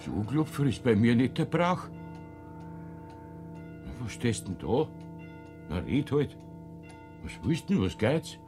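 An actor in a classic radio play playing a stubborn, crafty old Bavarian man who talks gruffly and coaxes cheerfully. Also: medium pitch, very animated, slow pace, long pauses, music in the background. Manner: gruff, then jovially coaxing.

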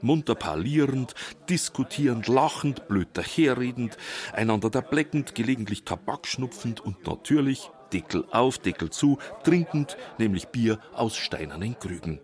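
A man narrating a documentary feature in a wry, measured storytelling manner. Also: mid-range voice, expressive. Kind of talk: scripted narration.

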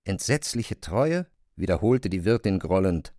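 A man reading scripted literary narration as a storyteller, in a measured, deliberate way. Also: low-pitched, lively intonation, mellow, no echo, clean studio sound.

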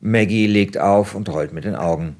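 A man's voice: fairly low pitch, moderate pace, a little mellow. A man hosting a podcast, switching between reading a script aloud and casual chat. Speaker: podcast host.

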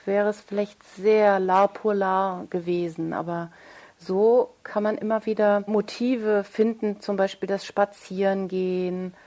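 A woman's voice: mid-range voice, steady tone, a little slow, mellow. A woman speaking as an interview guest and author, explaining her work in a thoughtful, articulate and reflective way.

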